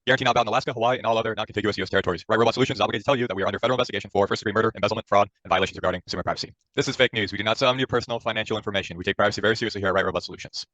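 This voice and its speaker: Man acting a shady radio ad salesman, low pitch, forceful bright tone, dry studio sound, pushy patter. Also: very fast, hardly any pauses, dry recording, lightly acted, rough recording. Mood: rushed, official. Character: pushy fast-talking shady male robot-company infomercial pitchman. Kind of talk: parody radio commercial.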